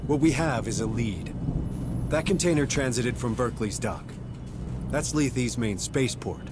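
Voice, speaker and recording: Very loud music runs behind a gruff, commanding Marine sergeant in a game, voiced by a male actor. He is guarded, terse and authoritative, speaking at a middling male pitch. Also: animated, moderate pace, close to an everyday voice. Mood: firm, sober. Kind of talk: video game voice acting.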